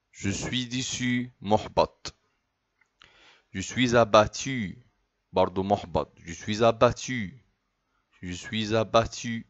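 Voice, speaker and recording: A man with an Egyptian Arabic accent teaching French, repeating each phrase slowly and then explaining it quickly. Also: lowish voice, fairly bright tone, muffled recording. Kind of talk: slow repetitive language drill.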